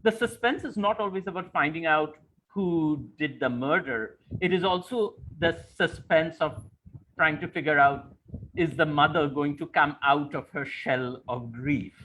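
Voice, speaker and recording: A man's voice: a little high, measured pace, a bit bright, a little hiss or hum, poor audio. A man interviewing in a literary conversation, articulate and thoughtful, building long explanatory questions. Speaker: interviewer and moderator.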